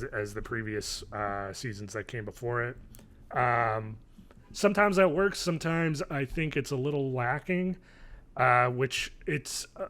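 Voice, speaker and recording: A man's voice: medium pitch, fairly bright tone. A man in his thirties co-hosting a casual podcast review, talking in a hesitant, meandering way and often correcting himself. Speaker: podcast co-host. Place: living room at home, indoors. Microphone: video call, wearing headphones.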